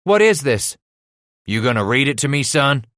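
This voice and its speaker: A man voicing an angry, domineering frontier father in an audiobook, with gruff, stern delivery and rustic, folksy speech. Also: lowish voice, moderate pace, sharp tone, no echo, clean studio sound. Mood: pleading, tense.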